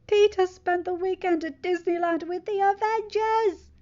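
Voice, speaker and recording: A woman voicing an excitable, loyal teenage boy, the hero's best friend, in a gushing, enthusiastic way for an audiobook. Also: very high voice, unhurried, dull audio, voice pushed up. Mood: excited.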